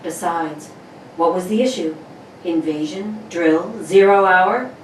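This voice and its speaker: A woman's voice: fairly low pitch, slow pace. A woman narrator reading a radio play script in a dramatic storytelling style. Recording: roomy, distant mic, faint background noise.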